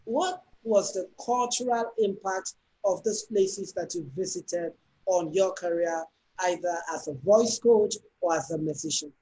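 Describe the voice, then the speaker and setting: A man's voice: sits high, darkish tone, a little hiss or hum, poor audio. A man hosting an interview, introducing his guest with enthusiasm and praise. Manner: enthusiastic, complimentary. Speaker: host. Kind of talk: interview host.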